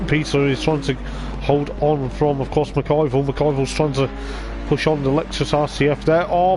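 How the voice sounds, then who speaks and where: A man with a voice going hoarse, giving rambling live race commentary on a stream, with lots of hesitant fillers. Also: on the high side, noisy background. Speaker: sim racing league commentator.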